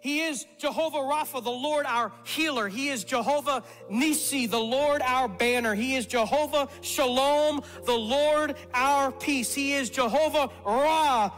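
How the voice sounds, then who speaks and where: A man's voice: very high voice, a little slow, hardly any pauses. A middle-aged man preaching a sermon as pastor, emphatic and repeating words for stress. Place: church stage before a congregation. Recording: reverberant hall with soft music.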